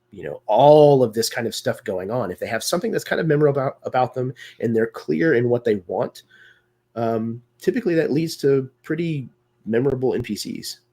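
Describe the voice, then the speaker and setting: A man guests on a roleplaying-game discussion over a video call. His voice is husky and a little raspy, and he talks things through thoughtfully with frequent pauses and fillers. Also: mid-range voice, a little mellow. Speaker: guest performer. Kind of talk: casual discussion of roleplaying games.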